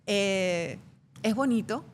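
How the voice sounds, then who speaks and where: A middle-aged Venezuelan woman with a Venezuelan Spanish accent, speaking thoughtfully as an interview guest with frequent pauses and restarts. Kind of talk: reflective interview conversation. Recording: faint background rumble.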